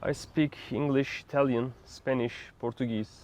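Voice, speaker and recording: A young Turkish man with a Turkish accent, answering an interviewer's questions in loose, halting talk full of fillers. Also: mid-range voice, steady tone, a little mellow. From Turkey. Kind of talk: street interview.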